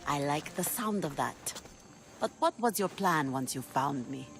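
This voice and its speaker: A woman's voice: low voice, animated, a little slow, fairly bright tone, faint music behind, heavily acted. An actress voicing a bold female pirate leader in a video game, wry and challenging. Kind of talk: video game cutscene acting.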